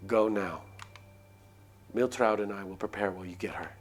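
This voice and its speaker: Man voicing a cold, commanding village woman, low-pitched. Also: normal speed, lightly acted. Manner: matter-of-fact, urgent, bossy.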